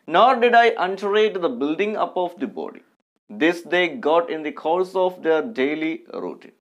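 Male teacher, Indian accent, slightly high-pitched, very slow pace, careful articulation, instructive lesson delivery. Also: fairly bright tone. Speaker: English teacher. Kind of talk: online lesson with text read aloud.

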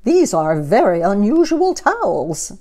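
A woman's voice: mid-range voice, very animated, a little slow, dark tone. A woman voicing a puzzled, proper husband in a storybook, calling out in bewildered, emphatic tones.

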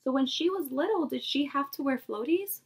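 A woman's voice: sits high, animated, a little fast. A woman in her twenties, a preschool teacher, reading expressively to young children and addressing them directly. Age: 20s. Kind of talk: animated read-aloud to young children. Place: small bedroom, indoors.

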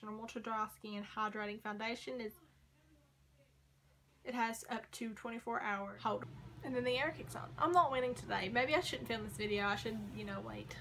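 A young woman in her twenties rambling casually through a makeup haul, her voice going hoarse. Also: fairly high pitch, background noise. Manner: chatty, rambling, frequent likes and ums. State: losing her voice. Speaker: beauty vlogger. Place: small bedroom corner by a door.

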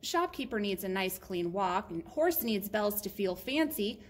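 A woman voicing a small, anxious, hurried mouse who pleads earnestly and in singsong in a children's picture book. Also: mid-range voice, very animated. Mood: pleading, insistent.

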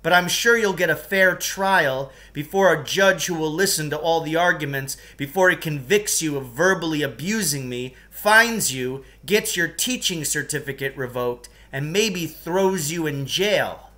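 A defiant schoolboy with a smart mouth, as a male storyteller plays him. The voice is pitched up, bright and insistent. He speaks bluntly and emphatically, as in an audiobook. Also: a little slow, hardly any pauses. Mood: defiant, threatening. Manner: blunt, emphatic, mock-formal threats.

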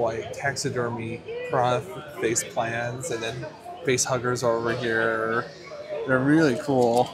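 A man casually vlogging as he walks around an indoor expo, upbeat and easily delighted, with joking asides. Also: mid-range voice. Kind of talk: casual walkthrough vlog. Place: busy indoor expo hall. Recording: crowd chatter behind.

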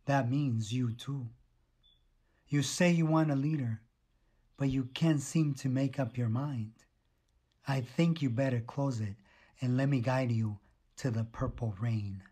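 Actor's slow, tender male recitation. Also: mid-range voice, dark tone, natural voice. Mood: tender, solemn. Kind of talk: slow dramatic recitation of song lyrics.

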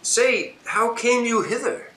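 A man's voice: very high voice, takes it slowly, sharp tone, heavily acted. A man playing a dignified, solemn king in a Shakespearean radio play, with grave, formal verse delivery. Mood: bewildered. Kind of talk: Shakespearean radio drama acting. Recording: roomy, echoing home recording.

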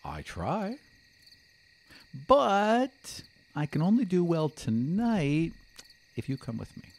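A man voicing a confident, kindly young elf woman with a brisk, self-assured way of talking. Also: fairly high pitch, wildly swooping pitch, darkish tone, voice pushed up, full character voice. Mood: modest, inviting.

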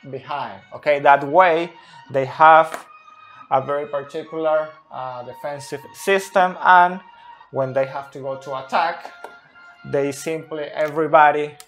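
A man in his thirties who is not a native English speaker, explaining football tactics unscripted at a whiteboard, slow and deliberate with many pauses. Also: medium pitch, a bit bright. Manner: slow and deliberate, pausing often. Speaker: football analyst. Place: small room by a whiteboard.

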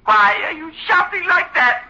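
High, taunting ventriloquist's dummy. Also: male voice, big pitch swings, moderate pace, pushed and bright, full character voice. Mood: menacing. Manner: taunting, needling. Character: sinister living male ventriloquist's dummy, defiant and menacing. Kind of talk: old-time radio horror acting. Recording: dull lo-fi old radio recording.

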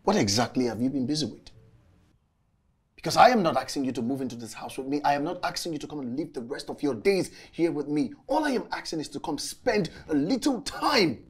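A young man in love, earnest and easily frustrated. His mid-pitched voice is rough and husky, in the style of screen drama dialogue. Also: brisk, a little mellow. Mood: frustrated, hurt.

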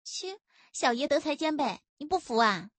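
A woman voicing a playful, boastful young female constable in an audiobook, teasing and quick-tongued. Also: sits high, no room sound, voice pushed up. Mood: mock indignant. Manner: teasing, lively.

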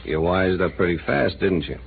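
A man's voice: deep voice, normal speed, creaky. An actor playing a flippant, streetwise male reporter with a drinking habit in a 1950s radio drama. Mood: cagey. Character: cynical hard-drinking male newspaper reporter. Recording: tinny old radio broadcast with hiss.

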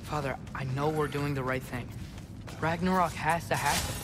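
Man playing eager teenage son, lightish high pitch, earnest, hopeful young fighter, conversational, video game drama. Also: fairly even intonation, moderate pace, noisy background, close to an everyday voice. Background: loud music.

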